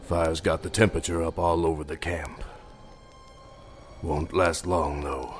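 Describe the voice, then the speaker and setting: A man performs a weary survivor in an audio drama, guarded and sparing with words. The voice is deep, with light music behind it. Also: little pitch movement, a little slow. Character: weary, guarded male survivor of an Arctic disaster. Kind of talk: dramatized read-along record acting.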